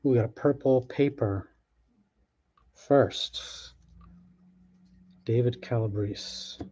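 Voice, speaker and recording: A man chats offhandedly while opening packs, thinking out loud with quick restarts. His voice is mellow and mid-pitched. The recording sounds dull and lo-fi, like a home setup. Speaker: card break host. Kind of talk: casual live commentary while opening packs.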